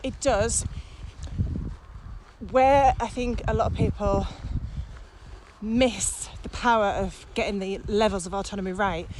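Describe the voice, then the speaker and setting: A woman's voice: medium pitch, fairly even intonation, measured pace, long pauses, darkish tone. A woman co-hosting a casual podcast, explaining ideas in conversation while out walking. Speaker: podcast co-host. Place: outdoors, on a village walk. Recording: noisy outdoor recording with ambient sound.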